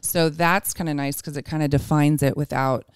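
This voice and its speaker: A woman's voice: low voice, steady tone, normal speed, clean tone, no room sound, studio quality. A woman in her forties chatting casually and candidly, with a self-deprecating way of talking. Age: 40s. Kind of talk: casual chat.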